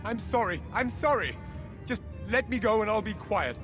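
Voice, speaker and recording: Scared captive accountant, game cutscene. Nervy, pleading, fairly high and very animated, music underneath. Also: male voice, normal speed. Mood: terrified pleading. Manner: pleading, nervous. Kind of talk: video game cutscene acting.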